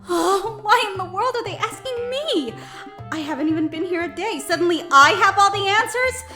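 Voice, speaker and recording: A woman's voice: very high voice, expressive, normal speed, bright, forceful tone, heavily acted. A woman playing an anxious, earnest young female student who narrates a visual novel audio drama in a dramatic, hesitant way. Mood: exasperated, anxious. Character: anxious, exasperated young female student, new club member. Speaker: voice actor narrating and playing the lead. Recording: music behind the voice.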